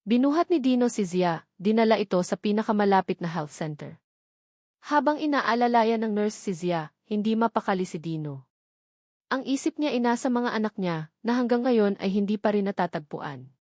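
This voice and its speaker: A Filipino woman reads an audiobook drama with dramatic feeling. Her voice sits at an average female pitch. The sound is dry, with no room around it. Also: expressive, normal speed, a little mellow, no echo. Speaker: audiobook narrator. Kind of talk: scripted dramatic storytelling, Tagalog romance drama.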